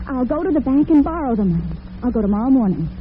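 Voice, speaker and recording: A woman's voice: high voice, big pitch swings, fast. A young actress playing an earnest, devoted working-girl daughter with money worries in old-time radio drama. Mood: determined. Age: young adult. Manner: earnest, affectionate. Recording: narrow-band vintage radio with slight noise.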